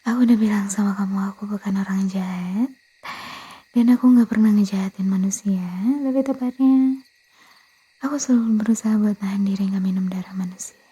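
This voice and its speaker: An Indonesian woman playing a young vampire girlfriend who craves blood yet means no harm, speaking softly and hesitantly in an intimate, often whispered ASMR roleplay. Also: medium pitch, fairly even intonation, a little fast. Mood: apologetic, gently coaxing. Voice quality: breathy, soft. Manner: hesitant, stammering, self-correcting, coaxing.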